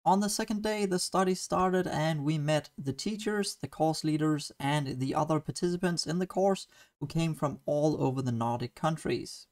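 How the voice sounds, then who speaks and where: A man's voice: fairly high pitch, normal speed, a little mellow. A young man with a Danish accent, narrating a travel diary in an earnest, enthusiastic voice-over. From Denmark. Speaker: student vlogger.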